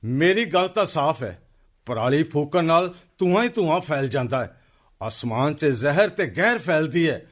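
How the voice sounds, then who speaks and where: A man's voice: on the high side, expressive, moderate pace. A man playing an indignant, forthright villager in a radio play, with a Punjabi accent and an accusing manner. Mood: earnest. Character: outspoken male villager, indignant accuser of a neighbour.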